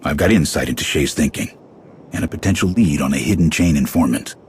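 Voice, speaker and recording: An actor playing a stern, blunt military clan commander in a video game cutscene, with a husky, authoritative delivery. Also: male voice, fairly quick, slight background noise. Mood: stern, resolute. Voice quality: very deep, gravelly, husky.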